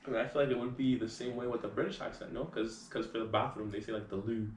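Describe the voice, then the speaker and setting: A man hosting a casual interview, relaxed and prompting his guest with short questions. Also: medium pitch, quick pace, roomy sound, distant mic, slight background noise. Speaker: host.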